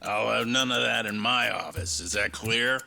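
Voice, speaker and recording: An actor playing a gruff, wry elderly law office boss in a video game drama. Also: male voice, fairly low pitch, moderate pace, pushed and bright, faint music behind, full character voice. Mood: stern. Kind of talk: video game cutscene acting.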